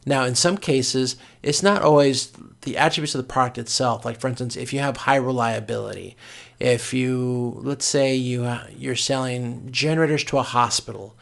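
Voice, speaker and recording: A man with a noticeably creaky, gravelly voice at a middling pitch delivers an informal recorded lecture. He sounds like an instructor walking through slides, explaining each point step by step and reaching for everyday examples. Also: normal speed, fairly bright tone. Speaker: course instructor.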